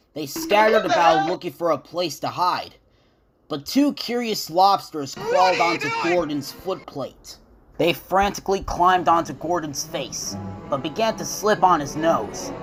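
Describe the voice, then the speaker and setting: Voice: mid-range voice, animated, a little slow, loud music behind the voice. A narrator reading a scripted children's-style story for a comic dub.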